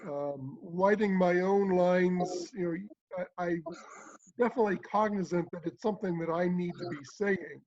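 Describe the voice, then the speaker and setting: High-voiced man on slightly muffled audio. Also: moderate pace, a little mellow. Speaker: amateur voice actor and writer. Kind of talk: casual panel chat. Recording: dull, lo-fi online stream audio.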